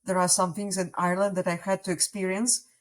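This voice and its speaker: Russian woman on a podcast, russian first language, thinks out loud, lots of uh and like. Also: lowish voice, little pitch movement, brisk. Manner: reflective, hesitant, many fillers. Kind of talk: casual podcast chat.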